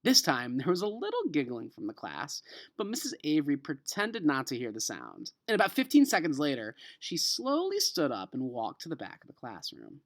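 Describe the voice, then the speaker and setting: A man with an American accent, an elementary school teacher reading a children's novel aloud and explaining it to a class in an expressive, emphatic way. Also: fairly high pitch, normal speed.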